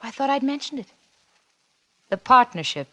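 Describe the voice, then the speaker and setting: A scheming wife in a forties radio thriller. Her fairly high voice swoops theatrically, coy and teasing, as she insinuates and calmly angles for power. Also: normal speed, slight background noise. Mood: mocking, sweet. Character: cunning, coolly blackmailing wife angling for power. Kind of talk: 1940s radio suspense acting.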